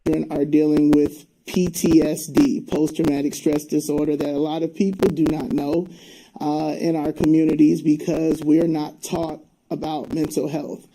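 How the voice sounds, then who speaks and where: A woman's voice: low-pitched, flat delivery, moderate pace, mellow, a little hiss or hum, low-quality recording. A woman from Albany, New York, speaking earnestly and emphatically as an interview guest. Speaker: interview guest, community advocate.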